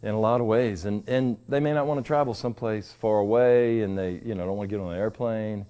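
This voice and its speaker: A man's voice: on the low side, a little fast, warm tone, smooth. A middle-aged American man talking casually and plainly in an interview as a business co-owner, with a down-to-earth way of speaking.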